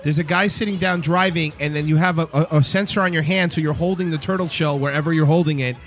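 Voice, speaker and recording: A man's voice: fairly high pitch, steady tone, fast talker. A man hosting a casual live talk show, enthusiastic and slangy in a buddy-like way.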